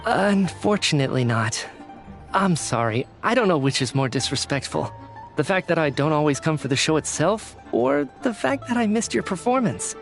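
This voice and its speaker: Candid male writer, conversational, animated intonation, apologetic streak, game dialogue, faint music. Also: fairly high pitch, normal speed. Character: earnest, apologetic theater-loving writer, chatty and candid. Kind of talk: scripted video game dialogue.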